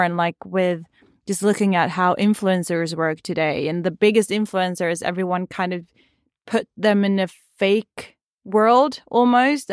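A woman's voice: fairly low pitch, no echo, clean studio sound. A woman, talking earnestly in a casual podcast chat, with frequent "like" and "kind of.". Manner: earnest, uses "like" and "kind of" often.

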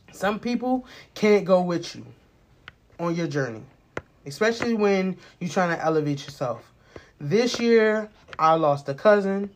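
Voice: mid-range voice, a little hiss or hum. An American podcast host in their late twenties talking casually and personally, rambling, candid and emphatic, with plenty of swearing. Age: late 20s.

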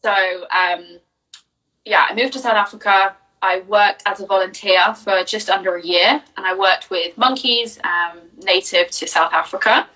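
A woman's voice: medium pitch, normal speed, pushed and bright, distant mic, low-quality recording. A young woman from Jersey, a business coach, chatting openly in an interview and telling her story with plenty of fillers. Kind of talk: casual interview answers.

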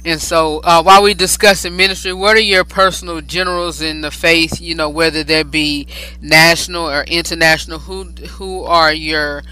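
A man's voice: on the high side, fairly even intonation, moderate pace, bright, forceful tone, smooth, low-quality recording. A man hosting a talk show, interviewing in a rambling way, with many fillers and frequent affirmations. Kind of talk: interview conversation.

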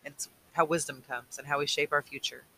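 A man reading aloud as a weary old mentor, patient and burdened, with a slow, earnest delivery. The voice sits a little high and comes out sharp and bright. It sounds like a tired elder in a storybook. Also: fairly even intonation, vocal fry, a little hiss or hum, exaggerated voice, low-quality recording. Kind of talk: audiobook reading.

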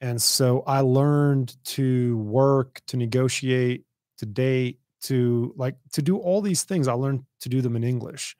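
A young man hosting a podcast, talking in a blunt, sardonic way and laughing often. His first language is Arabic. The voice sits at an ordinary pitch for a man and sounds close and dry with no room echo. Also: slow pace, darkish tone. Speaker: podcast host. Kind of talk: casual interview chat.

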